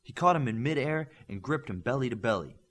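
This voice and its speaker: A man reads superhero fiction as an audiobook narrator. His mid-pitched voice moves expressively up and down, with dramatic and deliberate phrasing. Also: lively intonation, a bit bright. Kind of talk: scripted narration of a superhero story.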